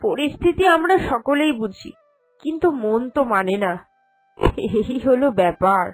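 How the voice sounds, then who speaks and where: A woman acts out an anxious cartoon mother-in-law who dotes and frets. Her voice sits high and plaintive, quick to nag. Soft music plays behind her. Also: sing-song, low-quality recording. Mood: plaintive worry. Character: anxious, doting mother-in-law fretting over her son. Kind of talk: Bengali cartoon drama dubbing.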